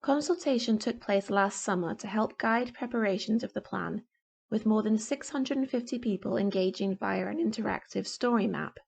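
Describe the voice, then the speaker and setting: A woman reading local newspaper articles aloud from a script at home, in a measured, formal way. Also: mid-range voice, vocal fry, darkish tone, husky. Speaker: volunteer newspaper reader.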